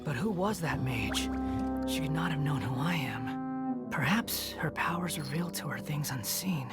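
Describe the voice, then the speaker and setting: A man's voice: a little high, animated, a little slow, loud music behind the voice. A man playing an arrogant, menacing young military commander in an anime video game, haughty and scornful. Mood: brooding, cold. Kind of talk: dramatic anime-style game villain acting. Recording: loud dramatic score behind.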